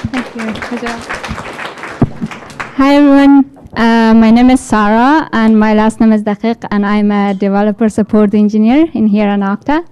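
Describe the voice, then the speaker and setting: A woman's voice: a little high, steady tone, normal speed, muffled recording, crowd noise, background noise. A woman from Afghanistan with an Afghan accent, giving a chatty tech conference talk full of rhetorical questions. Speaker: developer support engineer giving a talk. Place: event hall with an audience.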